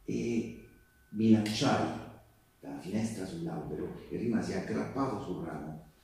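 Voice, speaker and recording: A male actor playing an earnest partisan and postal worker, telling his wartime story in a halting, conversational stage monologue. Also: low voice, creaky, a little mellow. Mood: hushed, suspenseful. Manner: conversational, halting, with rhetorical tags and repetitions. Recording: echoey live theatre, distant microphone.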